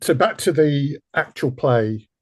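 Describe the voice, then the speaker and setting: Slightly husky man hosting a podcast. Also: on the high side, lively intonation, a little slow. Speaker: podcast host and interviewer. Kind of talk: conversational podcast interview.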